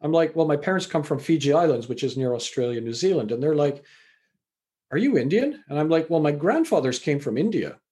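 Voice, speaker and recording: A man's voice: on the high side, expressive, brisk, dark tone. A middle-aged man from Canada, an author and university lecturer, answering interview questions in a reflective, storytelling way with frequent fillers.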